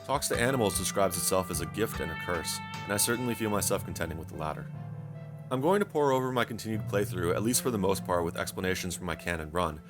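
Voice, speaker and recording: Very low male voice, fast delivery, wry wordy video game narration, music bed. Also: expressive. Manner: wry, wordy, casually profane. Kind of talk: scripted narration for a game review.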